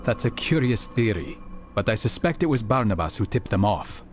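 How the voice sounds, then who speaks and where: A man's voice: lowish voice, big pitch swings. Grave male assassin, over music. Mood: serious. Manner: formal, deliberate phrasing. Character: grave, philosophical male assassin, stern and measured. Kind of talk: video game cutscene acting. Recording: game audio with music behind.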